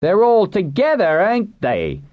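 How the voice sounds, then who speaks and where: Storybook mob agitator performed by a man: male, rough-spoken, angry, raised pitch, dull-edged recording. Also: huge pitch swings, unhurried, exaggerated voice. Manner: loud, rough colloquial shouting. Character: angry rabble-rousing man in a crowd. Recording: roomy, dull muffled recording.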